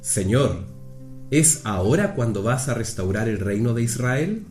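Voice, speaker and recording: Radio play disciple, male actor: earnest, wondering, animated intonation, unhurried, echoey room. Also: medium pitch, faint music behind. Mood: earnest, searching. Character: earnest, questioning male disciple Peter, awed and searching. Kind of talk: youth radio play acting.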